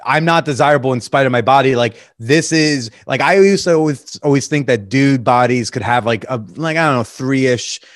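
A man's voice: mid-range voice, moderate pace, hardly any pauses, no room sound, clean studio sound. A man in his thirties, a podcast guest, talking candidly and reflectively with a joking streak. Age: 30s. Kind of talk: casual interview chat.

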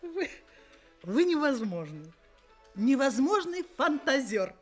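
Kindly bride's mother, polite and hesitant, unhurried, highly expressive pitch, radio play with music behind. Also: exaggerated voice. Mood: flustered, astonished. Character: kindly mature mother of the bride, genteel, flustered.

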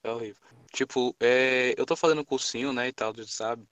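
A seventeen-year-old young man, hosting a casual podcast chat and telling rambling, animated stories full of slang. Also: mid-range voice, fairly quick, clean tone, no room sound. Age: teens. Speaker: podcast host.

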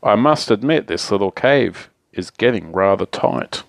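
A man's voice: lowish voice, lively intonation, measured pace, vocal fry, dry recording, clean studio sound. A man voicing a big, sleepy, easily persuaded bear in a children's picture book, in a drowsy manner. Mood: drowsy, tempted. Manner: drowsy, yawning.

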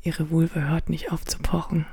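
A woman whispers close to the mic in a slow, intimate, breathy voice. Her tone stays flat and dark, with a gravelly edge under the hush. The sound is dry and close, with no room around it. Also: low-pitched, no room sound. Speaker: narrator. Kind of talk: whispered second-person audio drama narration. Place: studio.

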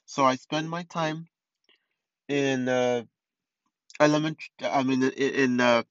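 A man's voice: mid-range voice, fairly even intonation, a little slow, no echo. Rambling young man, muffled dry audio. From East Los Angeles. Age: 20s. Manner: rambling, many restarts and fillers. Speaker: podcast host. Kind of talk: casual solo podcast monologue.

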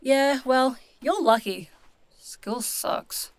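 Jittery, sarcastic young girl for fantasy audio drama female actor. High-pitched, unhurried pace, informal and blurting. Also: no room sound, heavily acted. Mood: sulky.

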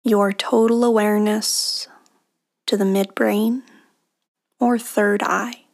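Very slow, hushed woman guiding meditation. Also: mid-range voice, flat delivery. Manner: hushed, breathy, soothing delivery with long pauses. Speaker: meditation guide, podcast host. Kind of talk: guided meditation narration.

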